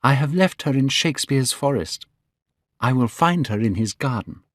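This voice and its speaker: A man's voice: mid-range voice, expressive, moderate pace, fairly bright tone, no echo, studio quality, voice pushed up. A man doing an ardent young lover. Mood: excited, gushing. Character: young man, eager, romantic, lovestruck.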